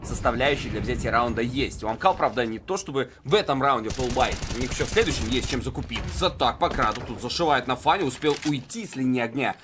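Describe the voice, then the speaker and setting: A man's voice: medium pitch, animated, fast, hardly any pauses, background noise. A man giving live, conversational esports commentary in colloquial gamer slang. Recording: game sound behind the voice.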